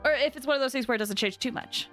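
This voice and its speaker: A woman streamer giving casual, reactive, expressive commentary on a live game. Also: high-pitched, very fast. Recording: game music behind the voice.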